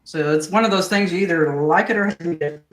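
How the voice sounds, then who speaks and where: A woman's voice: fairly low pitch, a little fast. Older woman, homey host, earnest chatter that turns preachy, roomy kitchen sound. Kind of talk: casual chat while cooking. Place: home kitchen.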